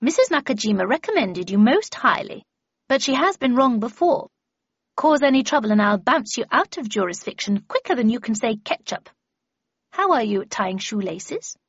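A woman voicing a stern, sharp-tongued, commanding older woman in an audiobook, brusque and brooking no argument. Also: big pitch swings, normal speed, husky, no echo, lo-fi sound. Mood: brusque, scolding. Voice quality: low growl at times. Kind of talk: audiobook character voice.

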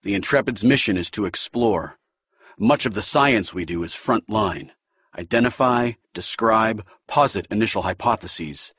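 A formal, buttoned-up senior science officer, voiced by a man reading an audiobook speaks in measured, precise phrases. The voice sits low with a husky edge and lively pitch movement, heard close and dry. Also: dry recording.

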